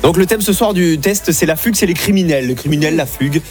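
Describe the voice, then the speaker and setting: A man's voice: fairly high pitch, quick pace, soft background music. A man from southwest France hosting an evening radio show, bantering playfully with callers and co-hosts in a studio. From southwest France (Landes).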